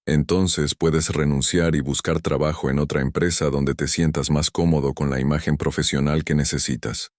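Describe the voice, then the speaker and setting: A man narrating a dramatic first-person story in a measured, stern manner. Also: extremely low pitch, a little mellow, no room sound, clean studio sound.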